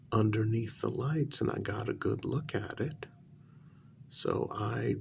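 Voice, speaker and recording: A man playing a nervous, deferential night-shift road worker in a soft-spoken ASMR role-play, halting and polite as he gives his account. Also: fairly low pitch, gravelly, slight background noise, exaggerated voice. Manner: halting, deferential, with frequent pauses and polite sirs. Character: deferential, nervous night road-crew workman, adult man, hesitant witness. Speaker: ASMR performer.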